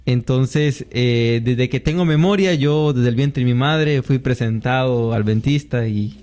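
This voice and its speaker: A man from Costa Rica is the guest in a faith interview, telling his own story. His voice sits at an average pitch, and he speaks thoughtfully, pausing and searching for words as he recalls things. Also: darkish tone, clean tone. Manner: reflective, thoughtful storytelling with pauses. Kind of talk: personal testimony interview.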